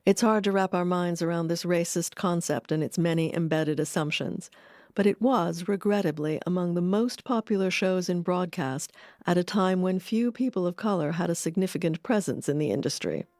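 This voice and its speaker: A woman giving measured, articulate scripted narration as a documentary narrator. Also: lowish voice, darkish tone, dry recording, clean studio sound.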